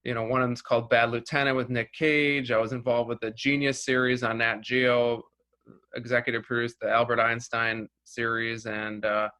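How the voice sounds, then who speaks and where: A filmmaker whose first language was Russian, talking casually as an interview guest and rambling through many restarts and "you know"s. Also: male voice, mid-range voice, flat delivery, moderate pace, sharp tone.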